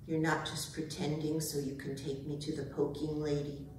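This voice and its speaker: A woman playing a proud, wary house cat in a staged reading, speaking in formal, deliberate phrases. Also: deep voice, little pitch movement, a little mellow, natural voice. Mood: wary, hopeful. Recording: roomy echoing hall, distant mic, noisy.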